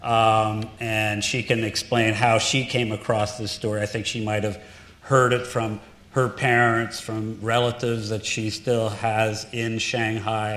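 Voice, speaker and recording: Joking director in an echoey hall. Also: male voice, fairly low pitch, fairly bright tone. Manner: joking, easygoing. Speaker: film director. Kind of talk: Q&A panel answers. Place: auditorium stage. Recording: echoey hall with faint noise.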